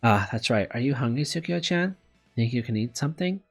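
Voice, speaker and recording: A man voicing a caring, casual schoolgirl in a visual novel, breezy and gently bossy, with music behind. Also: mid-range voice, lively intonation, brisk. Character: caring, casual schoolgirl looking after her sick girlfriend. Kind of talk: visual novel read aloud. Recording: background music under the voice.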